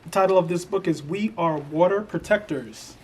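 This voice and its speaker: A man's voice: high voice, normal speed. A man reading a children's picture book aloud. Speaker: storybook reader. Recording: distant mic with faint noise.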